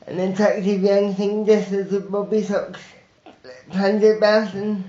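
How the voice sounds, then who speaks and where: A man's voice: sits high, dark tone. A man playing the scene-setting narrator of a radio mystery comedy, reading a script on a dull, roomy recording. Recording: dull, roomy video-call audio.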